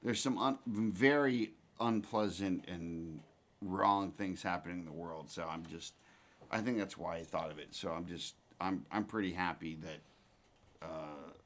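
A man in his forties hosting a casual chat, talking in halting, rambling phrases with many restarts. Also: low voice, a bit bright. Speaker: podcast host.

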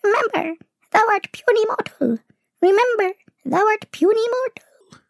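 A man voicing a tiny, excitable female pet creature with a pompous, cheeky manner in a children's story. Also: vocal fry, full character voice. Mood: taunting. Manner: pompous, drawn-out squeaky delivery.

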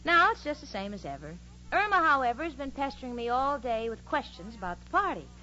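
A woman's voice: sits high, sing-song, fairly quick, sharp tone, heavily acted. An actress playing a sensible, exasperated young woman telling wry stories in a 1940s radio comedy. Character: exasperated, sensible young woman narrating her roommate's follies. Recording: dull, noisy old radio recording.

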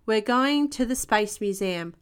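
A woman reads as a patient female gazelle who teaches playgroup in a children's storybook. Her voice is high, encouraging and clearly instructive. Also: lively intonation, unhurried.

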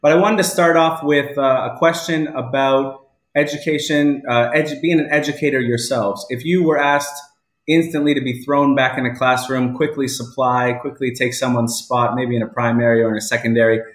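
A man's voice: medium pitch, fairly even intonation, normal speed. Male online show host in a roomy space. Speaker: live chat show host. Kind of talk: casual live online talk show hosting. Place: indoors at home. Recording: roomy home echo.

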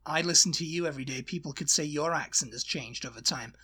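A man's voice: a little high, fast talker, pushed and bright, slightly rough. A man from Britain with a light, watered-down British accent, co-hosting a casual podcast chat, rambling and joking and slipping into other accents. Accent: light British with Welsh touches. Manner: rambling, jokey, puts on accents mid-story. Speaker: podcast co-host.

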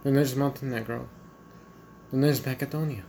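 A woman's voice: extremely low pitch, moderate pace, gravelly, a little hiss or hum. A woman voicing a stubborn, dismissive young woman as the country Croatia in a fan comedy podcast. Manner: flatly denying, evasive, offhand.

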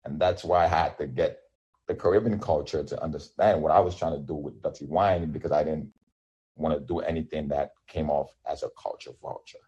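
A man's voice: a bass voice, fairly even intonation, a little fast, dark tone, breathy edge, highs cut off. A man in his thirties whose first language is Yoruba, an independent musician talking loosely in an interview with lots of restarts and fillers. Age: 30s. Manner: rambling, many restarts and fillers. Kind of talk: casual interview.

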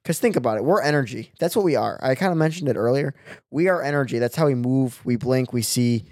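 A man's voice: mid-range voice, quick pace, a little mellow, no echo, clean studio sound. Young man explaining things casually. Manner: explanatory, philosophizing. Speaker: podcast co-host. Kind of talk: casual chat.

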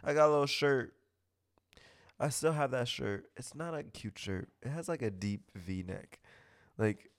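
A man's voice: lowish voice, creaky. A man hosting a podcast in a casual, gossipy chat, talking with a stuffy nose and gushing, opinionated comments. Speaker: podcast host.

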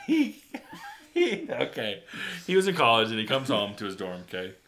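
Casting: male podcast co-host, mid-pitched voice, teasing banter. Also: wildly swooping pitch, moderate pace. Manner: teasing, laughs loudly. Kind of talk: casual chat.

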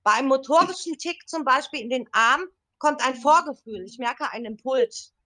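A woman in her early fifties speaks as a podcast guest in a slightly high voice. She tells her own experience casually and explains it step by step. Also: moderate pace, pushed and bright. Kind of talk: casual personal account.

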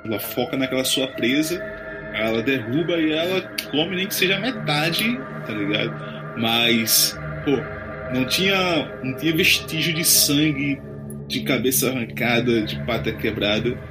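A man's voice: mid-range voice, expressive, normal speed, low-quality recording. A man with a Brazilian Portuguese accent, chatting casually and speculating aloud in slangy speech. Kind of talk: casual chat. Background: background music.

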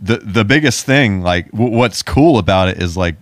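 A man's voice: fairly low pitch, expressive, normal speed, dry recording. A man in a casual, rambling and enthusiastic chat about video games, as a podcast co-host.

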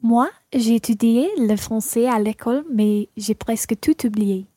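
Woman playing french learner in a radio play, highish mellow voice, careful and measured. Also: no echo, clean studio sound, lightly acted. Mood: matter-of-fact. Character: Christina, woman who studies French, modest dinner guest. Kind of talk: scripted language-course radio play dialogue.

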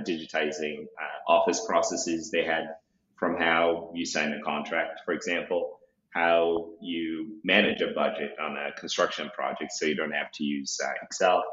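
Man from Zimbabwe, very deep voice, steady explainer, roomy dull recording. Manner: steady explainer, many "uh" fillers. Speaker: startup founder guest. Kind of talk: podcast interview, telling his career story. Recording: roomy, dull remote audio.

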